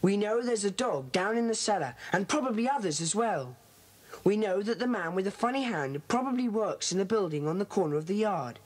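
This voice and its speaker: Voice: mid-range voice, lively intonation, close to an everyday voice. An actor playing a bossy, decisive schoolboy who leads child detectives in a children's audio drama. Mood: thoughtful, organising. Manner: brisk, decisive, organising. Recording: noisy old cassette transfer.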